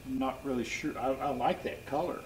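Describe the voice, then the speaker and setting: An older man chatting casually and haltingly as he works, thinking aloud. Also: mid-range voice, fairly even intonation, a little mellow. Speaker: machine restorer and channel host. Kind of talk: casual chat while working. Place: open shop doorway beside a trailer. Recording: noisy workshop recording.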